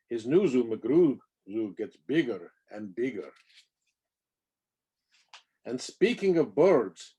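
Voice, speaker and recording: A man's voice: medium pitch, warm tone, slightly rough. A man reads a rhyming children's picture book aloud with playful, theatrical emphasis on the nonsense words. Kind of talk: expressive read-aloud of rhyming storybook verse.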